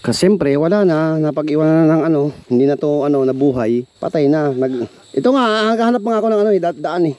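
Male vlogger, casual tour talk, raised pitch, soft mellow tone, action-cam outdoors. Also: expressive, fast talker, hardly any pauses. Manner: chatty, guiding viewers, hushed when uneasy. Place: overgrown rural yard outdoors.

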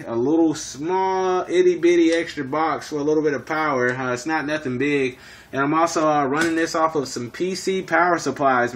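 A man in casual two-way radio chat, speaking in operator jargon and often repeating himself. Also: a little high. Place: indoors in an apartment.

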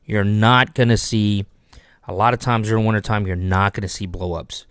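A man in his thirties from Texas, hosting a fishing channel, giving animated, repetitive tips to camera from a small home studio on a desk microphone. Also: on the low side, moderate pace, clean tone, dry recording. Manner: animated, repetitive coaching with lots of hand gestures. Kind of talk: instructional video talk to camera. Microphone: desk microphone on boom arm.

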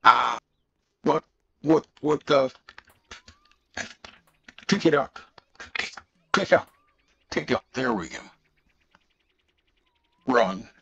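A man comments casually on a game through a voice changer. His voice is rough and croaky, with a husky edge. He talks very slowly, in short fragments that he often repeats. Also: mid-range voice, animated, a bit bright, dull audio. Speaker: gamer recording gameplay.

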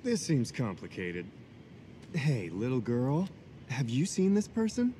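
Breezy, animated young male hero. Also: medium pitch, unhurried, a little hiss or hum. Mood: concerned, casual. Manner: breezy, expressive, informal. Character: easygoing, heroic young man, breezy adventurer. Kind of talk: video game cutscene acting.

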